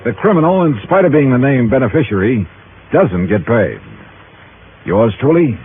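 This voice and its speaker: An actor playing a tough, wry American investigator in a 1950s radio detective drama, sardonic and punchy. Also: male voice, medium pitch, lively intonation, normal speed, a little hiss or hum, lightly acted. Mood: wry narration. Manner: hard-boiled, sardonic, punchy. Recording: muffled 1950s radio broadcast, organ music.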